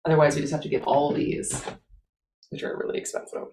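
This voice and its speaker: A woman's voice: deep voice, little pitch movement, brisk, warm tone, slight background noise. A woman with an American accent hosting live talk radio in a casual, bantering style. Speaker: radio show host.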